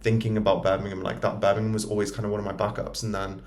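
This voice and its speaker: A man's voice: on the low side, level tone, rapid-fire, a little mellow. A man in his early twenties with an English accent, talking informally as a podcast co-host and often dropping in "like". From Newcastle and London, England. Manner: chatty, informal, frequent "like". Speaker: podcast co-host, dental student. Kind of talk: casual chat.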